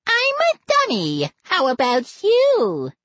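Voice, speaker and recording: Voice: sits high, huge pitch swings, slow, a bit bright, no echo, voice pushed up, heavily acted. A narrator voicing a boy making a ventriloquist dummy talk in a tinny voice. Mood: silly. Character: tinny ventriloquist dummy, boy's clumsy imitation.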